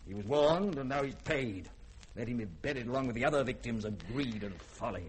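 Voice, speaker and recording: A man's voice: medium pitch, animated, a little fast, natural voice, rough recording. A man playing a proud, tormented older scientist and father with formal, theatrical diction in a 1950s radio drama. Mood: scornful. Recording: old radio recording with faint noise.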